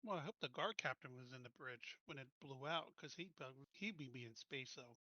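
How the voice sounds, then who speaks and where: A man's voice: medium pitch, animated, normal speed, pushed and bright, no room sound, muffled recording. A man playing a tabletop roleplaying game, casually talking through plans and questions. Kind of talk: casual game planning talk.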